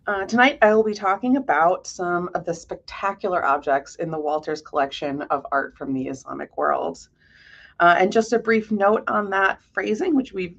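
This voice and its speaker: A woman delivers a prepared art history lecture in a lowish voice with noticeable vocal fry. Her delivery is measured, articulate and scholarly. The audio is slightly dull and muffled.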